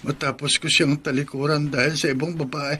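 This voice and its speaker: A man playing a guilt-ridden young adult son in a radio drama, speaking slowly and heavily. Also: on the high side, flat delivery, pushed and bright, slight background noise. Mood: withdrawn. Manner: slow, heavy, remorseful.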